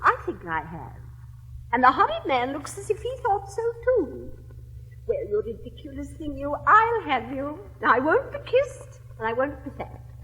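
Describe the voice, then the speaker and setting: Affected witty society lady, stage comedy, high voice, slow and drawn out, reverberant recording. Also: huge pitch swings, bright, forceful tone, heavily acted. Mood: coy. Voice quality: affected, drawling, swooping. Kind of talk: classic stage comedy acting. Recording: reverberant old recording, faint hiss.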